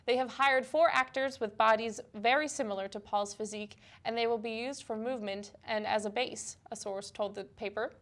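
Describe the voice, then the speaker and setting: Higher-voiced woman co-anchoring news. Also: fairly even intonation, fairly quick, hardly any pauses, fairly bright tone. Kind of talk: scripted news reading with casual chat.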